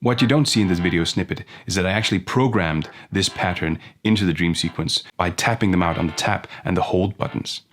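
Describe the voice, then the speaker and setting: A man's voice: low-pitched, fairly quick, hardly any pauses. A man narrating a casual effects pedal demo as its host. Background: electronic music and instrument sounds.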